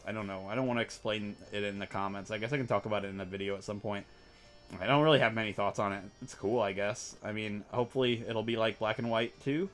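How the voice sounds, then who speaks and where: Casting: mid-pitched, talkative man, casual gameplay commentary, faint game music behind. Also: a bit bright. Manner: rambling and chatty, full of "like" and asides. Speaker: let's play host.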